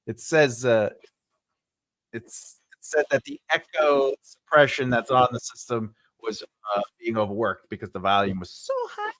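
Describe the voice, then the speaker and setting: A man's voice: mid-range voice, very animated, unhurried, a bit bright, dry recording, rough recording. A man hosting a live writing class, chatting loosely with lots of fillers and restarts while he adjusts his microphone. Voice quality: big voice. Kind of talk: casual livestream chat. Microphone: desk microphone.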